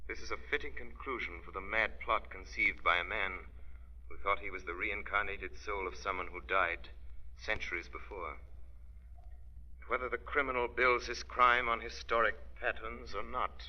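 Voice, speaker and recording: An actor playing a grave, commanding crime fighter in a 1930s radio drama, with solemn, declamatory delivery. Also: male voice, on the low side, steady tone, moderate pace, bright, forceful tone, muffled recording.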